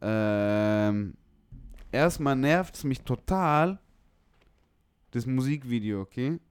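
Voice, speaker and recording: A man's voice: mid-range voice, animated, drawn-out words, smooth, no echo. A young man from northern Germany chatting casually about music, slangy, blunt and opinionated. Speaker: music producer, podcast guest.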